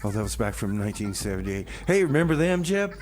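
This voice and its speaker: A man's voice: fairly low pitch, big pitch swings, fast. A man hosting a radio music show, easygoing and chatty as he back-announces songs. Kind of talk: casual radio banter.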